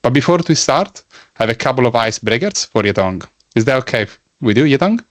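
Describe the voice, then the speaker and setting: A man's voice: medium pitch, very animated, quick pace, dry recording. A man from Italy with an Italian accent, hosting a podcast interview with enthusiastic, friendly questions. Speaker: podcast host. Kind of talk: casual interview chat.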